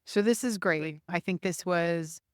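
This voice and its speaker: A woman's voice: fairly low pitch, unhurried, dry recording, studio quality. A woman hosting a podcast interview, asking questions in a friendly, upbeat way. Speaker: podcast host.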